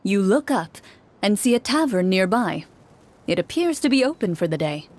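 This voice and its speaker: A woman giving calm, measured second-person narration of a game story. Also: mid-range voice.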